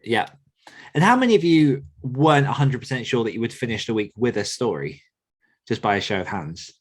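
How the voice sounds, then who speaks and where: A man's voice: mid-range voice, lively intonation, a little fast, breathy edge. A man hosting a podcast group discussion in a casual, conversational style. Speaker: podcast host.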